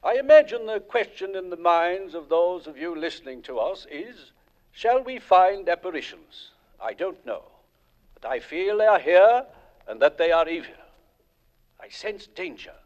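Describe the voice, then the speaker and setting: A man's voice: sits high, sing-song, unhurried, darkish tone. An actor playing a serious, formal male psychic investigator, in 1940s radio acting style. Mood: solemn. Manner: grave, formal, portentous. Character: solemn serious male psychic ghost hunter. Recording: dull old 1940s radio recording.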